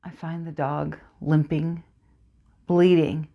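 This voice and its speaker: A woman performing a monologue as a teenage Kurdish girl, telling her story in a hushed, poetic way. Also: sits low, little pitch movement, slow pace, creaky, muffled recording, voice pushed down, heavily acted. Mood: hushed dread. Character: teenage Kurdish girl, haunted former sniper.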